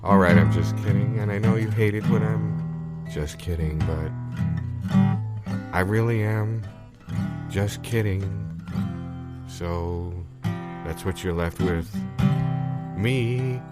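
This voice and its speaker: Man as a slow, low-voiced deadpan balladeer. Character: deadpan lounge balladeer making absurd romantic confessions. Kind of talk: improvised spoken comedy over guitar. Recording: loud guitar under the voice.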